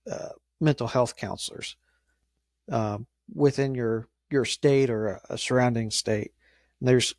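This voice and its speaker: A man answers as an interview guest in a medium-pitched voice with very little room sound. He talks informally and carefully, hesitating and feeling his way through each phrase. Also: no echo, clean studio sound. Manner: measured, hesitant, halting phrasing. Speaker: emergency dispatcher, interview guest.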